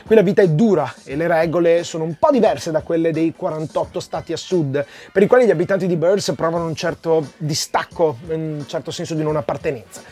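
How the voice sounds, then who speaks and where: A man's voice: on the high side, a little fast, hardly any pauses, darkish tone, breathy edge. A man in his forties presenting a comic review in a chatty, conversational way, addressing viewers directly. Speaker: comic book reviewer, video host. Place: small room with shelves of comics, indoors. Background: background music.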